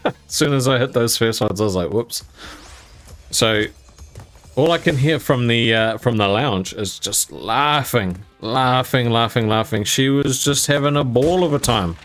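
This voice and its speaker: A man's voice: mid-range voice, unhurried, a bit bright. Casting note: young guy, mid-range voice, casual rambly storyteller full of "um"s and "you know"s, faint music under him. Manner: rambling with fillers, laughing as he tells it. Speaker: gaming YouTuber telling a personal story.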